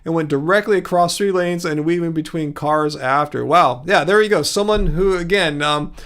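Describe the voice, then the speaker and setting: A man with a Californian accent and a fairly high voice hosts a livestream. He talks in a loose, easygoing way, drifting between thoughts as he answers comments. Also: moderate pace, a bit bright. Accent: Californian American. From California, United States. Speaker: livestream host. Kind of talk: casual livestream chat, replying to viewer comments.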